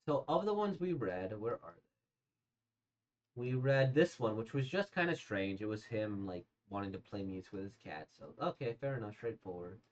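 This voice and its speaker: A hoarse, slightly congested man casually reacting to manga he has read, rambling with many fillers and restarts. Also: lowish voice, expressive, normal speed. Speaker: manga reader and reviewer. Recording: dull lo-fi sound.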